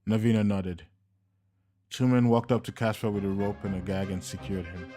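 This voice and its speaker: A man with a low voice reads an audiobook. He tells the story at a steady, even pace, and faint music plays underneath. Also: moderate pace. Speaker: narrator. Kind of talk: audiobook narration.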